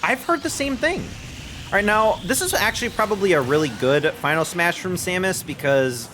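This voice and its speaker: A young man hosting a game stream, giving lively, excitable play-by-play and laughing often with his co-host. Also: sits high, normal speed, a little hiss or hum. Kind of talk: casual game commentary.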